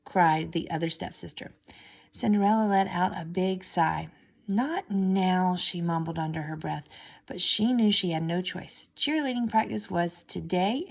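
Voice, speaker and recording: A woman's voice: on the low side, vocal fry, a little mellow. A woman teacher reading a story aloud and stopping to explain it simply to students.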